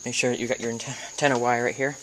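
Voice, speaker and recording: An American man giving a casual, hands-on video tutorial in an informal, halting way, thinking aloud as he goes. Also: mid-range voice, little pitch movement. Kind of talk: casual hands-on how-to demonstration. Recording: noisy amateur camera recording with clicks.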